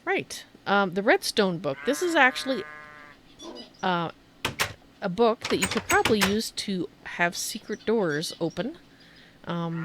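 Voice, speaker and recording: A woman's voice: medium pitch, fairly bright tone, slight background noise. A woman giving a casual, chatty game tour as a streamer, thinking aloud as she shows things off. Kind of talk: casual gameplay commentary and show-and-tell.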